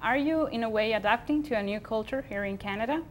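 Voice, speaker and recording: A woman's voice: fairly high pitch, quick pace, a little hiss or hum. A young woman from Venezuela, co-hosting a casual TV studio chat, often laughing and restarting her sentences. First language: Spanish. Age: young adult. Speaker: co-host. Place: small TV studio.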